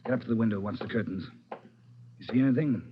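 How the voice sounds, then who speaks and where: An actor playing a brisk senior police officer giving short orders in 1950s radio drama style. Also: male voice, lowish voice, background noise, close to an everyday voice. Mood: tense, watchful. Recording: muffled vintage radio recording, loud music.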